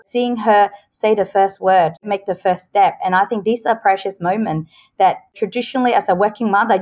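Upbeat woman guest on muffled audio. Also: mid-range voice. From Hong Kong, raised in Australia. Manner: earnest, upbeat, explains with homely examples. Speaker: podcast guest. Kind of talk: casual interview answers.

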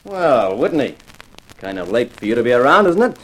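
An actor playing an easygoing, chummy plant security chief in a 1950s radio drama. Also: male voice, medium pitch, sing-song, normal speed, a bit bright, slight background noise, poor audio. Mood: genial, curious. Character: genial, folksy male plant security chief.

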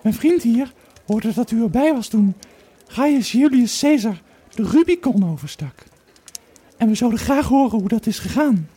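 A man voicing a timid, polite, eager teenage Roman boy in a falsetto for an audio drama. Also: expressive, moderate pace, mellow, slightly rough, full character voice. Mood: eager, coaxing.

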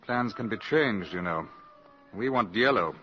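An actor playing a shrewd British intelligence agent in a 1950s radio drama, dry and in command. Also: male voice, fairly low pitch, expressive, measured pace, faint music behind. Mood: decisive. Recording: dull old 1950s radio recording.

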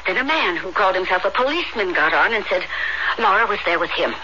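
A woman in a radio drama playing a distraught middle-aged mother, speaking in a flustered, halting, stammering way. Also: mid-range voice, expressive, close to an everyday voice. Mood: upset, agitated. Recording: muffled, faintly noisy old radio recording.

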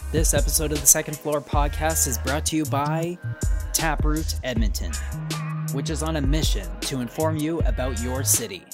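Male ad announcer over loud music. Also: a little high, normal speed. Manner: smooth, promotional. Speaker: sponsor announcer. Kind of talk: scripted ad read.

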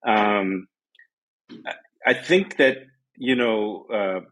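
A man's voice: lowish voice, very slow, fairly bright tone, poor audio. A man from Lebanon speaking as an academic guest in an interview, reflecting in a scholarly and personal way. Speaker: professor guest.

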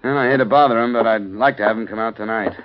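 A man's voice: fairly low pitch, fast, lo-fi sound, natural voice. An actor playing a tough, wry male insurance investigator in a 1950s radio drama, with a blunt and clipped delivery, on an old broadcast recording. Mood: practical. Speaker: lead and narrator of the series.

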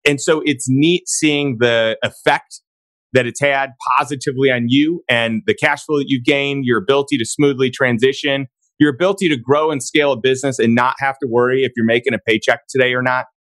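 Hoarse, raspy man, emphatic host. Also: medium pitch, fairly even intonation, brisk, a bit bright, no echo, rough recording. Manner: emphatic, enthusiastic. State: hoarse from days of talking. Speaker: podcast host. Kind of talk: casual interview chat.

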